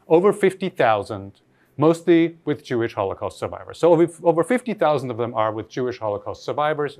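A man from Austria with as his delivers an academic lecture in a mid-pitched voice with very lively intonation. He speaks thoughtfully and off the cuff, halting and rewording his sentences as he reasons. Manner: thoughtful, halting with frequent rephrasing.

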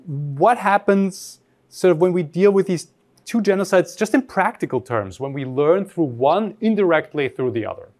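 Animated, thoughtful male lecturer from Austria. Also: high voice. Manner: thoughtful, halting with frequent rephrasing. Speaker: academic lecturer. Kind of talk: reflective academic lecture, spoken off the cuff.

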